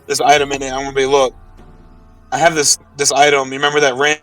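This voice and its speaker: A man's voice: mid-range voice, completely flat delivery, fast talker, fairly bright tone, far from the mic, faint music behind. A man playing a tabletop roleplay game, chatting casually and recapping as a player, recorded a little away from the mic.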